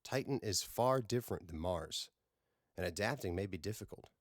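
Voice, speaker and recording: Man reading as seasoned starship captain, formal, courteous, measured, low voice, dry audiobook sound. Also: lively intonation, dry recording. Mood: formal, commanding.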